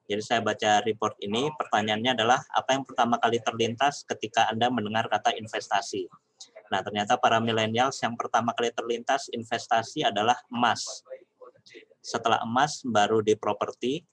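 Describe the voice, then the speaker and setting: Low-voiced man giving a webinar talk. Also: dry recording. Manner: explanatory, addresses audience as friends, many "nah" fillers. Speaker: webinar speaker on personal finance. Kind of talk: online webinar presentation.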